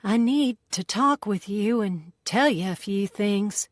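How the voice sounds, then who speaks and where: A woman voicing a blunt, folksy Southern woman with an Alabama drawl in an audiobook, speaking plainly in rough country grammar. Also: medium pitch, takes it slowly, no room sound, clean studio sound, pitched above the performer's own voice, close to an everyday voice. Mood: guarded. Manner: folksy ungrammatical speech, plain-spoken. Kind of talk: audiobook character voice.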